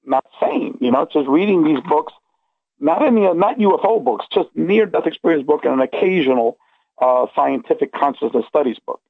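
Phone-band sound, husky male voice, middle-aged, earnest, rambling storyteller, emphatic. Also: fairly high pitch, animated, moderate pace, no echo. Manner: earnest, emphatic, checks in with okay and you know. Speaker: interview guest recounting personal experiences. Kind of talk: casual, rambling first-person storytelling. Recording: tinny phone-like line.

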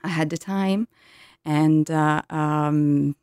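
A woman in her thirties from Italy with an Italian accent, a yoga teacher telling her story in an interview, pausing often to find words in English. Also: sits low, fairly even intonation, darkish tone, clear, resonant tone, no echo, clean studio sound. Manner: hesitant, searching for English words with many ums.

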